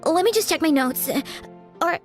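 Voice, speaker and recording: A woman's voice: very high-pitched, animated, normal speed, soft background music. A woman voicing a nervous, earnest young female bard in a video game, stammering, hesitant and apologetic. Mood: touched, hesitant.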